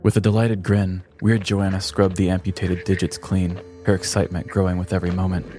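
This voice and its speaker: A man narrating a scripted true-crime comedy podcast in a theatrical, deliberate storytelling manner. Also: low-pitched, flat delivery, over music.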